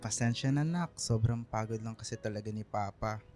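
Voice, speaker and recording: A man acting a tired, ailing but loving father in a radio drama, weary and gentle, with a Filipino accent. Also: fairly low pitch, moderate pace, lightly acted. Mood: weary, pained. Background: background music.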